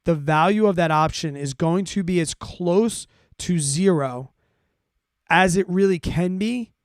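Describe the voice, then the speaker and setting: A man with a slightly high voice hosts a finance livestream in a very dry, close recording. He explains in a casual, conversational way and keeps checking that listeners follow along. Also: unhurried, no echo. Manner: conversational, repeats check-ins like "right?" and "okay?". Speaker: host of a finance livestream answering listener questions. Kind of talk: casual explanatory talk to a live audience.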